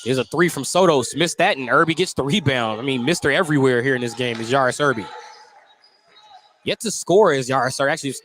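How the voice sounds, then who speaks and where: A man's voice: medium pitch, fast talker, fairly bright tone, a little hiss or hum. An American man doing live radio play-by-play commentary of a basketball game as the announcer.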